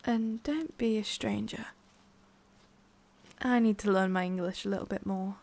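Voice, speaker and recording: A woman voicing a haughty, prickly witch with a hidden soft side in an ASMR roleplay, soft and often whispery with a creaky edge. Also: medium pitch, a little slow, lightly acted. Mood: softening, coy. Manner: soft, often whispery, breathy sighs, curt asides.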